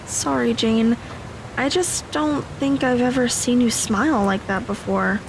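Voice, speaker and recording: Mild, slightly high-voiced teenage girl played by a woman. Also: measured pace, creaky, noisy background. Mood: mild, polite. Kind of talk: audio drama acting.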